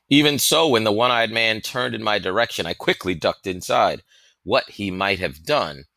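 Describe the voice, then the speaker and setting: A man reading a story aloud as expressive scripted narration, with a dramatic, emphatic manner. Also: sits low, no echo. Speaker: audiobook reader.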